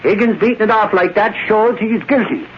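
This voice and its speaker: An actor playing a cocksure, excitable reporter in an old-time radio drama, speaking in an emphatic, folksy way. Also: male voice, high-pitched, fairly quick, lightly acted. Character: blustery, cocksure male newspaper reporter, eager and excitable. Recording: muffled, noisy vintage radio recording.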